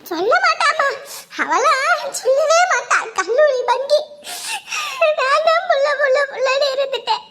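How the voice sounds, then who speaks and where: A woman voicing a loud, shrill middle-aged mother who sells fish and rants at her daughter in a family comedy skit. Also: lively intonation, a little slow, background noise. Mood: complaining.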